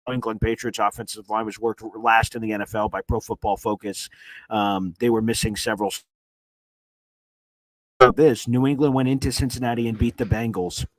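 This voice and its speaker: A man whose voice is nearly gone from screaming hosts a live sports reaction stream from a hotel room. He talks in an excited, rambling way, full of "uh"s and restarts. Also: on the low side, moderate pace, no room sound, low-quality recording. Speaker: sports reporter and livestream host. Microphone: streaming setup on weak hotel wifi.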